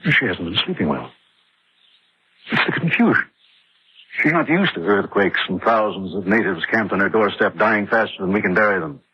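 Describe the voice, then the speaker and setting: A man's voice: on the low side, lively intonation, moderate pace, slightly rough. An actor playing a defensive, rationalizing husband who tells his story in dramatic radio horror style. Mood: defensive, concerned. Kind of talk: dramatic first-person radio horror narration and dialogue. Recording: muffled old-time radio drama recording.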